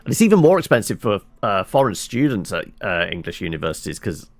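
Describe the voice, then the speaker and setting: A man's voice: on the low side, sing-song, quick pace, no echo. A man streaming a game with casual, wry commentary and rambling asides to his viewers. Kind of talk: casual live playthrough commentary, chatting with viewers.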